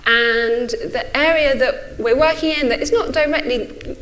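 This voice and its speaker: A woman's voice: sits high, measured pace, a bit bright, poor audio. A woman in her forties with a stutter, giving an informal, hesitant and self-effacing symposium talk. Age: 40s. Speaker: speaker, runs a think tank.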